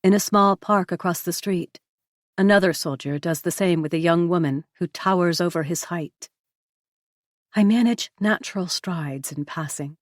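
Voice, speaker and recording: A woman narrating a novel in the first person, with a measured, even storytelling delivery. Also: fairly low pitch, no echo, studio quality. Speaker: audiobook narrator.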